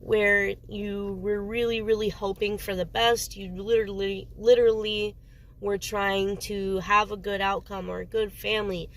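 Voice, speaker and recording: A woman's voice: mid-range voice, little pitch movement, measured pace. A woman doing a casual tarot reading, sniffly with a cold, rambling and often stopping to search for words. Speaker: tarot reader.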